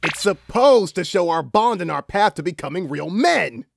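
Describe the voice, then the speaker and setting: Man voicing an excitable, high-pitched teenage boy hero. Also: normal speed, creaky, no room sound, clean studio sound, heavily acted. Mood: earnest, insistent. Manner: exuberant, earnest, emotional. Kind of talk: amateur comic dub acting.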